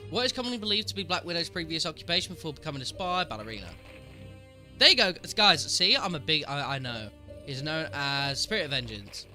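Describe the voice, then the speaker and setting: A man's voice: a little high, pushed and bright. A man with a cold and a sniffly voice, chatting casually with his stream viewers while answering game trivia aloud. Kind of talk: casual live-stream commentary.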